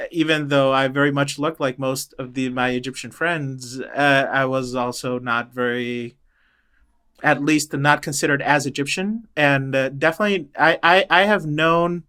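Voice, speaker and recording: Bright, clear-voiced man, halting and thoughtful. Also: on the high side. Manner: thoughtful, halting, many restarts and fillers. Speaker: interview guest, choral director. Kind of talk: reflective interview talk.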